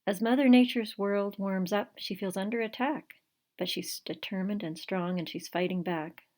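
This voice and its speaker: A woman reading her own children's picture book aloud in an expressive, dramatic storytelling style. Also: medium pitch, normal speed. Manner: rhyming, dramatic read-aloud.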